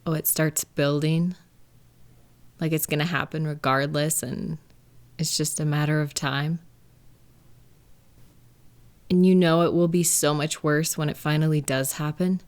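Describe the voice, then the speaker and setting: A woman acting a gentle, caring partner in an intimate comfort roleplay, speaking in a tender, unhurried, reassuring way. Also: deep voice, fairly even intonation, natural voice. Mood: sympathetic, soft. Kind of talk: roleplay comfort audio, intimate one-sided conversation.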